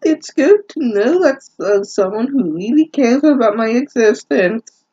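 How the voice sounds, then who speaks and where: A man's voice: very high-pitched, lively intonation, measured pace, darkish tone, husky, muffled recording, voice pushed up, exaggerated voice. A man voicing a lovestruck anime character in a gushing, exaggerated, syrupy sweet way.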